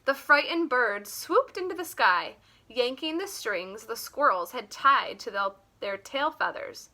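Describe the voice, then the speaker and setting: Slow, animated woman reading a storybook. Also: a little high. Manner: animated, deliberate. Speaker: teacher reading a picture book aloud. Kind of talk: expressive children's story reading.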